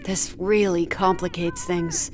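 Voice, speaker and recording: A woman playing a brisk, blunt crew leader in a sci-fi horror audio drama. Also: on the low side, flat delivery, slight background noise. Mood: exasperated.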